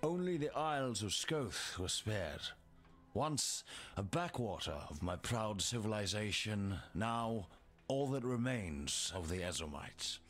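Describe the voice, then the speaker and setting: An actor playing a mournful, proud doomsayer of a fallen empire in a video game, theatrical and incantatory. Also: male voice, lowish voice, expressive, slow, fairly bright tone, full character voice. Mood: mournful pride. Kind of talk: scripted game character dialogue. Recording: music behind the voice.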